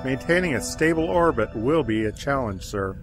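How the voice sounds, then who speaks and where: A man's voice: medium pitch, expressive, normal speed, lightly acted. A man acting as an alert, dutiful starship helm officer, reporting formally in a science fiction audio drama. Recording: prominent music underneath.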